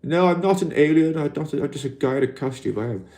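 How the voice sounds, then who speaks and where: A Welsh man hosting a casual livestream in an alien costume, talking gently and reflectively and reading out viewer comments, with lots of "you know" fillers. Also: fairly high pitch, expressive, fast. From Wales.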